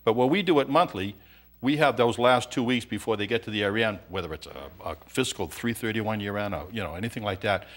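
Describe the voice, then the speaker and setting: Brisk low-voiced male accountant. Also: fairly bright tone. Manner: brisk, matter-of-fact explaining. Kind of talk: interview guest.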